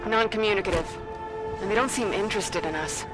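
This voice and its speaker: Observant squad soldier , female actor, matter-of-fact and crisp, medium-pitched, video game cutscene with score. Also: a little fast, music in the background, lightly acted. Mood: analytical. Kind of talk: scripted video game acting.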